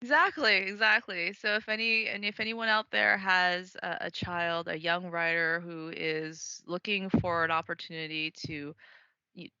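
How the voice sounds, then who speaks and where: A woman's voice: on the low side, steady tone, measured pace, pushed and bright, clear, resonant tone, dry recording, muffled recording. A woman from Taiwan, an author and writing program organizer, talking enthusiastically in a casual interview with lots of fillers. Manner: chatty, enthusiastic, frequent fillers and laughs.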